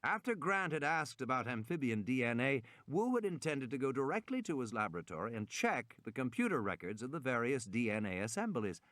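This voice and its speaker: A man reads fiction aloud as a dramatic audiobook narrator, with a measured delivery and very animated pitch movement. His voice sits in an ordinary male register and shapes each phrase expressively. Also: no room sound.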